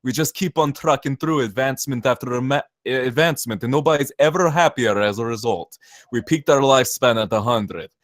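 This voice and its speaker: A man's voice: mid-range voice, a little fast, no echo, natural voice. A brash, cynical saboteur with a zealot's conviction, acted by a man at a table read. His voice sits in the middle range and sounds dry. He talks in emphatic, sweary slang. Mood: bitter, impassioned. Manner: profane, emphatic, drawling slangy rant. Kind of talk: screenplay table read, long monologue.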